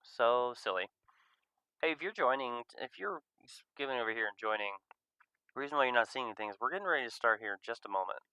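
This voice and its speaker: A man's voice: mid-range voice, lively intonation, quick pace, sharp tone, clean tone, dry recording, low-quality recording. A man hosting a casual livestream, chatting loosely and playfully, sometimes murmuring in singsong to himself. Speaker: livestream host of a visual novel reading. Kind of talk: casual livestream chat while waiting to start.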